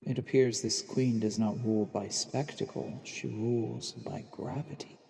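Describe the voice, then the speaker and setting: A man playing a watchful, brooding assassin posing as a noble, narrating deliberately with dramatic pauses in a fantasy audio drama. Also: medium pitch, fairly even intonation, vocal fry, dark tone. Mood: tense, awed. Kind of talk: dramatic first-person scripted narration. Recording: long reverb, music underneath.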